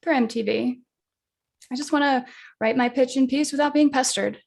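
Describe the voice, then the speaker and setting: A woman's voice: high-pitched, moderate pace, creaky. A woman playing a blunt, irritable radio DJ in a film script table read, curt and dismissive. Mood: irritated. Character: blunt, irritable female bar patron and radio DJ.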